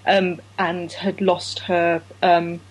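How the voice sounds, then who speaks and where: A woman's voice: fairly low pitch, little pitch movement, takes it slowly, low-quality recording. A woman co-hosting a podcast, chatting casually and laughing often, with frequent restarts. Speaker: podcast co-host. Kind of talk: casual chat about women's cycling.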